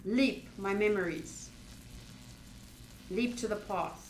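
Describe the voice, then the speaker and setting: A woman streaming a visual novel playthrough, reading the narration aloud in an expressive, dramatic way with excitable interjections. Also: mid-range voice, takes it slowly, a little hiss or hum. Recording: roomy echo.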